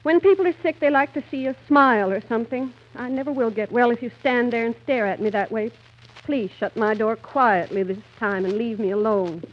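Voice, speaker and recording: A woman acts a long-suffering wife and mother of small children in an old radio play. Her voice is fairly high, coaxing her husband with some exasperation. The vintage recording sounds dull. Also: fairly quick, lightly acted. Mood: fretful. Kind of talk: 1930s radio comedy-drama acting.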